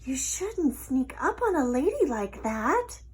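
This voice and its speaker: A woman's voice: high voice, big pitch swings, slow pace, pitched above the performer's own voice, exaggerated voice. A woman voicing a young lady cockroach in a storybook, cool, witty and dryly polite. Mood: dismissive. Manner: dry, coolly polite, mock-sweet. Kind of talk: storybook character voice.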